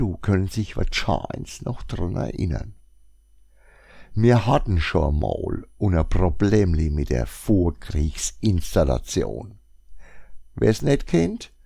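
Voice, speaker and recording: Franconian guy rambling on his shop blog, slow and mellow, dry close sound. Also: fairly low pitch, gravelly, no echo. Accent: Franconian German. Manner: chatty, rambling, wry asides to listeners. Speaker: shop blogger. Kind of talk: casual spoken blog monologue.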